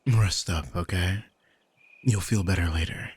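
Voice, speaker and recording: A man playing a grumpy, sardonic old vampire mentor in an intimate audio roleplay, husky and informal, prone to swearing. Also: sits low, a little slow, heavily acted. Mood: patient, gently coaxing.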